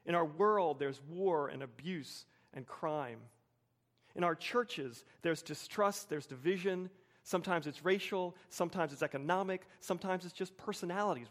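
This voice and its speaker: An American man in his forties preaching a sermon with personal anecdotes, measured, with emphatic pauses and repeated phrases. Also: fairly high pitch. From United States, suburban Wilmington. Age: 40s.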